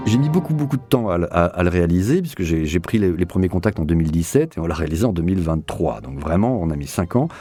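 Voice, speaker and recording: Low, mellow man, reflective interviewee. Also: expressive, fast, hardly any pauses, soft background music. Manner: explanatory, rephrases and repeats for emphasis. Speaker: audio drama director being interviewed.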